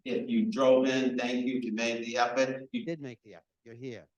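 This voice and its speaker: A man with a deep, carrying voice welcoming an audience as the association's chapter president, talking loudly and chattily without a microphone. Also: level tone, brisk, muffled recording. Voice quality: deep voice that carries. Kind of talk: welcoming remarks at a live event. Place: event room at a nonprofit center. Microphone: no microphone, recorded from the room. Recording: echoey room.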